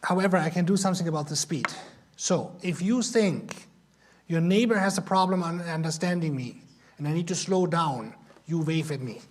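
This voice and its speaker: A man with a German accent and a noticeably high voice teaches a church class over a microphone. He talks quickly and pokes gentle fun at himself. His delivery stays informal and conversational. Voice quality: high-pitched. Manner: talks quickly, self-deprecating humor. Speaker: missionary teaching Sunday school. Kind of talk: informal Bible class talk.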